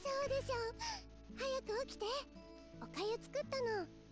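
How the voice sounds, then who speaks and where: A woman's voice: very high-pitched, expressive, a little fast. A woman voicing a shy, doting young fox girl in a Japanese visual novel, with a girlish manner and game music behind her. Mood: gentle, caring.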